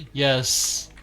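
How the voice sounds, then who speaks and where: A man casually commenting as he plays a game, wry and self-deprecating, with half-mumbled asides. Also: pushed and bright. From not from Maine. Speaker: gaming streamer.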